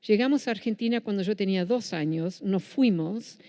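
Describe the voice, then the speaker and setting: Lecturer: woman, Buenos Aires accent, warm low tone, long hall reverb. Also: normal speed. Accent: Buenos Aires Spanish. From Netherlands. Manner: self-correcting, with frequent restarts. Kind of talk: public lecture.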